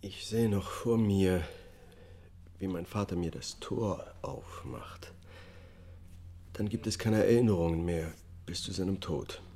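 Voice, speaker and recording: An actor playing a haunted, detached murder defendant confessing in a radio drama. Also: male voice, low voice, a little slow, dark tone. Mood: subdued, haunted. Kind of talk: German public radio drama acting, confessional testimony.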